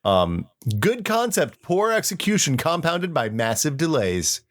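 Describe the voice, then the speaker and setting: A man's voice: fairly high pitch, sing-song, a little slow, a little hiss or hum. A middle-aged American man co-hosting a podcast, chatting casually and reading old posts aloud in a wry, self-mocking way. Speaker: podcast co-host.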